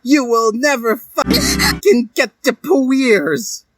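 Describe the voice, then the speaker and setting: A man's voice: very high voice, wildly swooping pitch, slow pace, a bit bright, far from the mic, slight background noise, pitched above the performer's own voice, heavily acted. A man voicing an imperious, theatrical mother who appears as a fire spirit in a story reading. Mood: gloating.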